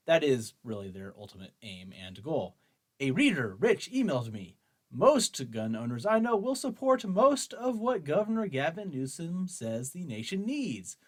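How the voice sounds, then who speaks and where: Male commentary host, slightly high voice, argumentative and mocking as he reads and reacts. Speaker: host of a commentary show on guns. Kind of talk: opinion commentary, reading an article aloud and reacting.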